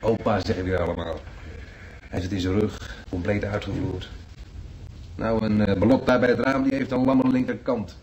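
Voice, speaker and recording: An actor playing a cheeky, teasing hospital patient who banters in breezy slang in a radio play. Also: male voice, lowish voice, fairly even intonation, normal speed, darkish tone, slight background noise, lightly acted, poor audio. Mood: breezy, chatty.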